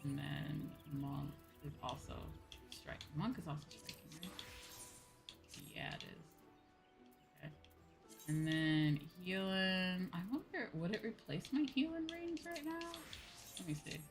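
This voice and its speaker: A woman's voice: lowish voice, animated, a little slow, gravelly. A young woman chatting casually and informally as she livestreams a game, with a giggly manner. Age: young adult. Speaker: streamer. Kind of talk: casual livestream chat while gaming. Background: music.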